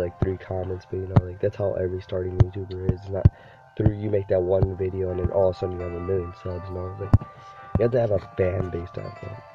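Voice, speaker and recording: A young man with a cold, rambling casually over gameplay as a gaming YouTuber and saying "dude" a lot. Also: deep voice. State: sick, voice deeper than usual. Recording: dull lo-fi recording with game music behind. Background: game music.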